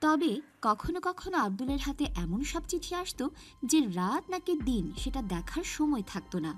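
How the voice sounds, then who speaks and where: High, animated woman storyteller. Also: normal speed, faint music behind. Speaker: narrator. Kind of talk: scripted children's horror story narration.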